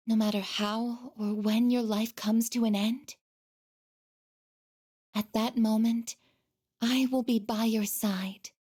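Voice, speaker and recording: A woman voicing a gentle, earnest female android in a video game, speaking softly and hesitantly with shy devotion. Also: on the high side. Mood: resolute, devoted. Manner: soft, hesitant, deliberate, tender. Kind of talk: video game voice acting, emotional dialogue.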